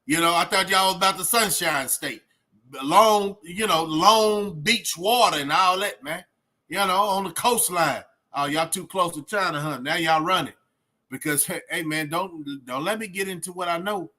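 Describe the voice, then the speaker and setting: A man's voice: high voice, moderate pace, bright, forceful tone. A man from Texas, hosting a casual livestream in streetwise slang and giving opinions straight to viewers. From Texas, United States. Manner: streetwise slangy talk with frequent "man" and "bro". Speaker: host of a reaction livestream.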